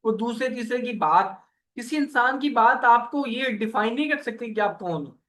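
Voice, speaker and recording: Male workshop coach, high-pitched and earnest, motivational preacher-like delivery. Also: moderate pace, fairly bright tone, slight background noise. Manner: earnest, preacher-like, repeats "okay" often; voices the listeners' questions and prayers. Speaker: online earning coach.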